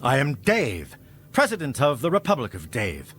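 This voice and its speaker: An actor playing a pompous, officious self-declared president in a video game, with a threatening manner. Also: male voice, medium pitch, huge pitch swings, normal speed, a bit bright, slightly rough, heavily acted. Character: pompous self-declared president of a tiny settlement, adult man. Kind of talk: video game character acting.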